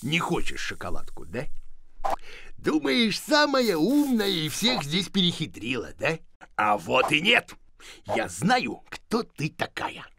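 A man's voice: on the high side, huge pitch swings, takes it slowly, gravelly, noisy background, exaggerated voice. A man voicing a silly, boastful hairy creature in a children's cartoon, pompous and given to exclaiming and muttering. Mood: scheming, pompous. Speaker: main character of children's cartoon. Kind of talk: animated cartoon acting.

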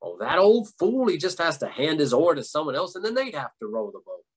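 An irritable devil from a spoken fairy tale, put on by a male storyteller. He is loud and exclaims with exasperation in a raised pitch. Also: very animated, a little fast, husky. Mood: irritated, exasperated. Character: devil, gruff irritable grandson, screaming and grumbling.

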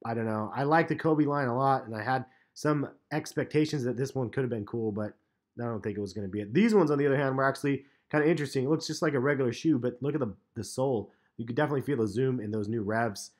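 A man in his thirties giving a relaxed, chatty sneaker review in a vlog, with plenty of ums and uhs. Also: mid-range voice, quick pace. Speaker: sneaker vlogger. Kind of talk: casual vlog commentary. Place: parked car, and voice-over on store footage.

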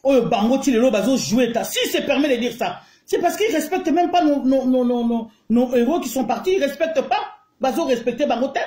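Middle-aged Congolese-accented woman, fiery phone-video rant, higher voice, roomy echo. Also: expressive, fairly quick, hardly any pauses, warm tone. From Congo (DRC). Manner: indignant, emphatic, mixes French and Lingala. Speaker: online commentator. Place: small room indoors. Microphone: phone held close to the face. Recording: echoey room.

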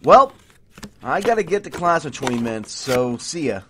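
A man's voice: medium pitch, big pitch swings, moderate pace, a bit bright, a little hiss or hum. A young man acting an easygoing, joking college student in an audio drama, casual and teasing. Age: young adult.

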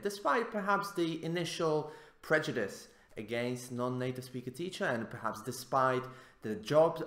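A man's voice: fairly high pitch, a bit bright. A man in his thirties hosting an interview and explaining to camera in an earnest way, recorded on a webcam. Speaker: host, teacher trainer. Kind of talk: interview host and intro to camera. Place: small indoor room. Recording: echoey room.

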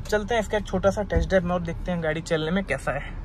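A man, a used car dealer filming a walkaround of a car for sale and briskly listing its features and price. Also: sits high. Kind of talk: car walkaround and sales pitch. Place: outdoor car lot, then inside a car on the road. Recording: outdoor noise and traffic.

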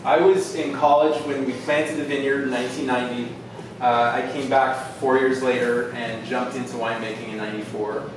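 A middle-aged man from Southern California talks guests through a wine tasting in a relaxed, rambling way full of fillers. He sounds far from the camera in a very echoey room. There is steady noise in the background. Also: medium pitch, brisk. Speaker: winemaker giving a tasting. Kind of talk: casual tasting talk to guests.

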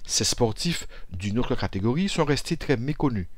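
A man reading a scripted news report voice-over in a measured, formal way. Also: mid-range voice, lively intonation, no echo. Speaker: voice-over narrator.

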